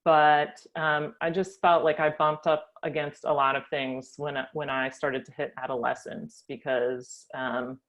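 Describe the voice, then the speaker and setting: An American woman telling her family story as a guest, thoughtful with frequent pauses and ums. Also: low voice, level tone. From United States. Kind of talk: reflective personal storytelling.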